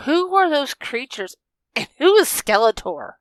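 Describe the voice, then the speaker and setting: A man's voice: extremely high pitch, big pitch swings, measured pace, fairly bright tone, clean tone, no room sound, pitched above the performer's own voice, heavily acted. A man voicing a determined, wary young female fighter, earnest and urgent, in a story reading. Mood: questioning.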